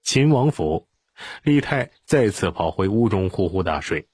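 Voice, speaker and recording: A man's voice: low voice, sing-song, unhurried, darkish tone, slightly rough, no room sound, studio quality. A man narrating an audiobook as dramatic scripted storytelling.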